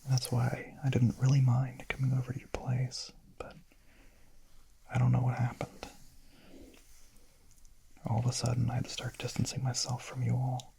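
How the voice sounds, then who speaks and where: A man playing a shy, gentle young vampire in a whispered ASMR roleplay, murmuring softly, hesitantly and intimately in a breathy voice. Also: medium pitch, monotone, normal speed, long pauses, a little hiss or hum, lightly acted. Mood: quiet confessing. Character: shy, gentle young male vampire, smitten misfit confessing.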